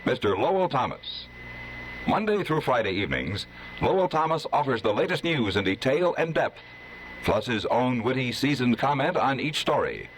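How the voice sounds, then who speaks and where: A man's voice: medium pitch, big pitch swings, normal speed, low-quality recording. Polished vintage radio announcer, man. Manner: polished, emphatic delivery. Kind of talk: scripted radio promo. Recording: faintly hissy old radio recording.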